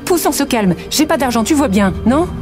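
Actress doing a mouthy sarcastic woman, slangy and cornered, voice highish n all over the place, music behind. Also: lively intonation, moderate pace. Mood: defensive, exasperated. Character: defiant sarcastic mother, cornered police consultant. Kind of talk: French audio fiction acting.

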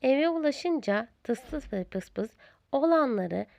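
A woman narrating a children's storybook in a deliberate, expressive storyteller's manner. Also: sits high, vocal fry.

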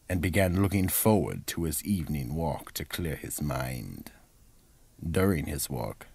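A male narrator tells a radio mystery in an extremely deep voice. He is slow and husky, yet moves his pitch expressively. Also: dry recording. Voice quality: deep, gravelly.

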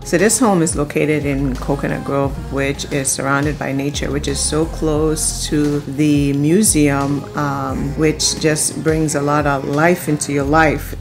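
A woman with a low-pitched voice talks as a house tour host. Her delivery is casual and enthusiastic, like someone showing a place to her vlog followers. Music plays along under her words.

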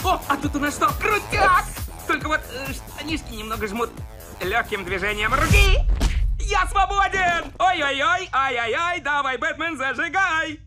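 A performer voicing an eager, awestruck, innocent orphan boy in a cartoon film, gushing and excitable. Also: sits high, measured pace. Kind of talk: animated film dub. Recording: loud film music behind.

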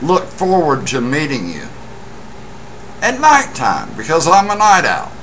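A man's voice: a little high, wildly swooping pitch, a bit bright. A middle-aged American man talking casually to a webcam in a room at home, emphatic and boastful like a proud hobbyist. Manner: emphatic, boastful, deliberate phrasing. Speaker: CB radio hobbyist vlogger. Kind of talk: casual monologue to camera. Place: small indoor room at home. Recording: noisy home webcam recording.

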